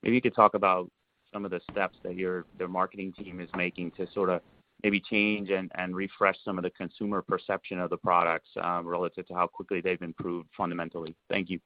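Low, flat-voiced man on a phone line. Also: a little fast, dry recording. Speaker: financial analyst asking questions. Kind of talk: unscripted earnings call question. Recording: tinny, dry phone line.

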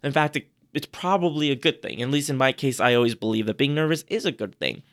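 A man's voice: mid-range voice, fast talker, fairly bright tone. Chatty man giving speaking tips. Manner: chatty, rambling with frequent restarts. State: slightly hoarse. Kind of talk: casual podcast advice. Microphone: podcast microphone.

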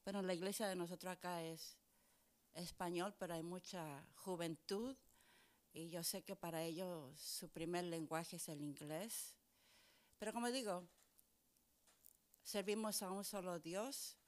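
A woman's voice: fairly low pitch, measured pace, pauses often. A middle-aged woman pastor, talking casually as a guest and mixing some English into her Spanish. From Chile. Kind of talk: casual testimonial talk.

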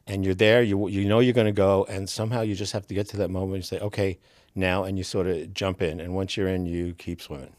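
A man's voice: deep voice, level tone, a little fast, a little mellow, dry recording, studio quality. A man, a former stand-up comedian, describing something in a reflective way.

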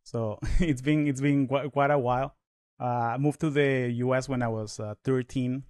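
A man in his thirties whose first language is Spanish, talking fast with many restarts as a guest in a casual podcast interview. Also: mid-range voice, steady tone, a little mellow, no room sound, clean studio sound. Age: 30s.

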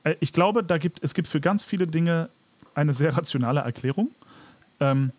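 A man's voice: on the high side, animated, moderate pace, a little mellow, no echo. A man co-hosting a podcast in casual conversation, skeptical and argumentative, restarting his phrases as he reasons. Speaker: podcast co-host.

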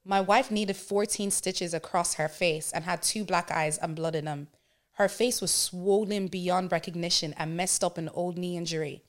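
A woman hosting a podcast, chatting casually with slang and reading stories aloud to friends in an animated way. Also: fairly low pitch, moderate pace. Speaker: podcast host.

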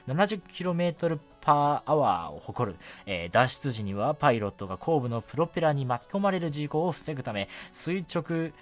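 A man's voice: fairly high pitch, big pitch swings, moderate pace, few pauses. Guy hosting a radio segment, really up and down delivery, trips over words, music behind him. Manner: filler-heavy, stumbling over words, self-correcting. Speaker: radio show host. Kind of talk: solo radio show segment, reading listener mail and chatting. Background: background music.

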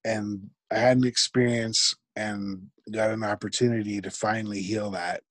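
A man, a guest in an interview, talking reflectively and haltingly, with frequent restarts. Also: on the low side, flat delivery, dry recording. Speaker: podcast guest. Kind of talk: conversational interview.

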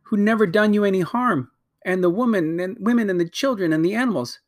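A man's voice: high voice, fairly quick, voice pushed up, natural voice. A man doing an outraged young girl. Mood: indignant. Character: indignant young girl, outspoken.

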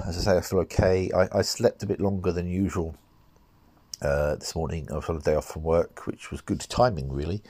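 A middle-aged man hosting a solo podcast, talking in a measured, rambling way and often correcting himself, his voice nasal from a cold. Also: low voice, a little mellow, slightly rough, no room sound. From United Kingdom. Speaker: podcast host.

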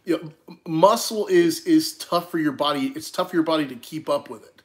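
A young man speaks earnestly in a casual chat, often repeating a word as he gets going. His voice is a touch higher than most men's. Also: brisk. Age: young adult. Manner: earnest, repeats words when starting. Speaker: podcast co-host.

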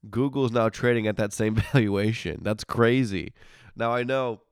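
A man's voice: on the low side, moderate pace, smooth, no echo, clean studio sound. A man with a stuffy, congested nose, hosting a casual solo podcast in an informal, grumbling, self-interrupting way. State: congested sinuses. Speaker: podcast host.

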